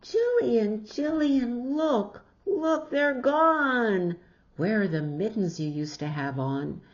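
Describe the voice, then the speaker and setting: A dramatic, bossy child in a storybook, played by a woman reading aloud. The delivery is emphatic, with animated pitch, on a slightly dull recording. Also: slow pace. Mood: alarmed, scolding. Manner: emphatic, mimics a shouting mother. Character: scolding friend, young child, dramatic and bossy. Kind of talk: storybook read-aloud character voice.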